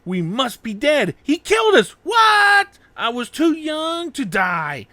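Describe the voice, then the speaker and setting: A man's voice: very high voice, wildly swooping pitch, slow, bright, forceful tone, no echo, raised voice, voice pushed up, heavily acted. A man voicing a cowardly, lovesick young anime swordsman in a whiny, wailing, melodramatic way. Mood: panicked.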